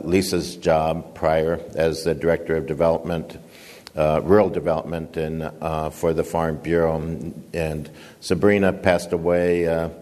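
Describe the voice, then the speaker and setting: An older man giving a conference speech, booming and halting, with many fillers. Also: a little mellow, in a large hall. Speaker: guest speaker, nonprofit founder and director.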